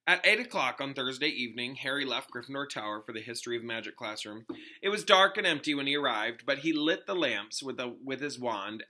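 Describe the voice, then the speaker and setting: A man's voice: medium pitch, bright, forceful tone. A man, a teacher, reading a story aloud expressively with casual explanatory asides.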